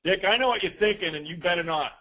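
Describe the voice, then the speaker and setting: A man presenting a business training call as an informal, rambling software demo, with teasing, self-deprecating asides. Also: high voice, fast talker, rough recording.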